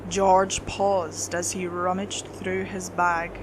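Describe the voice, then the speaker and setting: A woman's voice: on the low side, flat delivery, slow, background noise. A woman reading a scripted horror story as its narrator.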